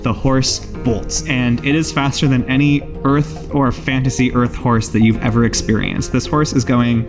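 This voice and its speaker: A man's voice: medium pitch, flat delivery, normal speed. Playful male game master over music. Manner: teasing, playful. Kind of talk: narrating a tabletop role-playing game. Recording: music behind the voice. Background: background music.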